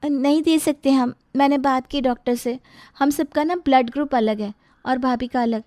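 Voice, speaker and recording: A woman's voice: high-pitched, fairly even intonation, moderate pace, dark tone, no room sound, clean studio sound, lightly acted. A woman voicing an overworked, resentful young working daughter-in-law with a complaining, pointed manner. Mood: slyly matter-of-fact.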